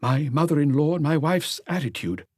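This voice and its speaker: A man's voice: on the high side, normal speed, no room sound, studio quality, voice pushed up, lightly acted. A man voicing a nervous, deferential doctor who answers police questions in a hesitant, guarded way for an audiobook. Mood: uneasy, guarded.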